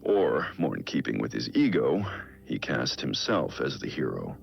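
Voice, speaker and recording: An actor playing a brooding middle-aged masked vigilante detective in a video game, recording a grave, measured, introspective audio log in a deep gravelly voice. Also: male voice, sing-song, muffled recording, full character voice. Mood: grave, self-questioning. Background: music.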